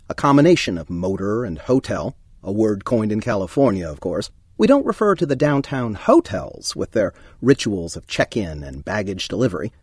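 Male academic, scripted radio commentary, husky, lively intonation. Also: mid-range voice, no room sound, studio quality. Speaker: professor.

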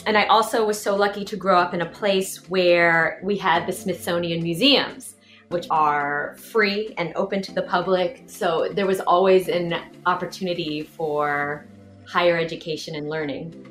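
A woman's voice: on the low side, steady tone, normal speed, a bit bright. Upbeat woman speaking in a roomy space. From Washington, D.C. area, USA. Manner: effusive and upbeat. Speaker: performer, director and choreographer. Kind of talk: first-person audio portrait narration. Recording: roomy sound with faint music behind.

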